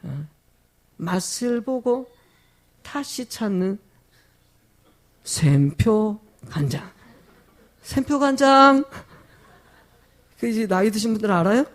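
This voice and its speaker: A man's voice: high voice, animated. A man preaching a sermon as a pastor, emphatic, putting rhetorical questions to his congregation, his throat sore from a cold. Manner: emphatic, with drawn-out stressed phrases.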